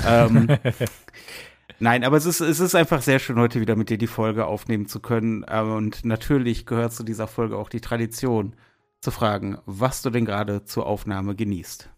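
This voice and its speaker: A man chatting casually as co-host of a podcast. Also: mid-range voice.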